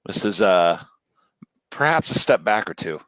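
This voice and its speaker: A man's voice: deep voice, sing-song, a little slow, a bit bright, no echo, poor audio. A man giving casual, conversational reaction commentary as he watches along.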